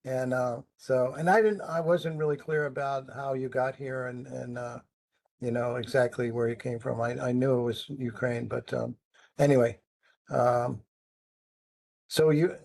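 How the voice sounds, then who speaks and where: Man, podcast host, middle pitch, chatty, rambling, frequent ums. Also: fairly even intonation, measured pace, gravelly, a little mellow. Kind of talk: casual interview conversation.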